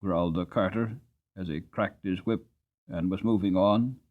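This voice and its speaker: A man's voice: low voice, normal speed, phone-quality sound. A man reading a classic novel aloud as an audiobook narrator, in a dramatic storytelling style.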